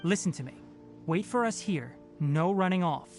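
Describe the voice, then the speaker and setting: A man voicing a young forest ranger scholar in an anime video game, calm, analytical and gently stern. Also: sits high, soft background music. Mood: measured, explaining. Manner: measured, analytical, instructive.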